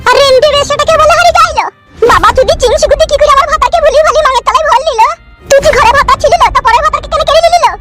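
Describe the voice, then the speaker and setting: A shrill cartoon wife, squeaky and extremely high. She scolds and curses jealously at a quick clip. Loud music runs behind her. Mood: furious, scolding. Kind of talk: comedy cartoon voice acting.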